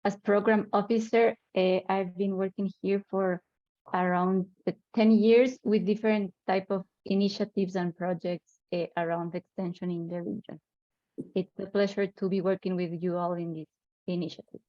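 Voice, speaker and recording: A woman's voice: medium pitch, fairly even intonation, moderate pace, no echo. A woman from Ecuador who works as a program officer introduces herself carefully on a video call. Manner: careful, with hesitations. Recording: dry, muffled call audio.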